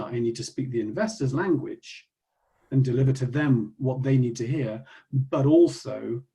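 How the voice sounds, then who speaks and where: Man, webinar panelist, mellow dark voice, measured, advisory. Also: medium pitch.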